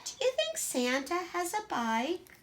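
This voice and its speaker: A woman voicing a quiet, timid, thoughtful little girl in a children's storybook, speaking in an earnest, hesitant way. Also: very high-pitched, wildly swooping pitch, measured pace, voice pushed up, exaggerated voice. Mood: curious.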